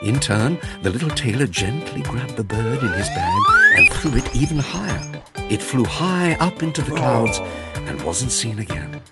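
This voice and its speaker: A man narrating a children's fairy tale as a storyteller, in an expressive, theatrical way. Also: medium pitch, moderate pace. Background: music.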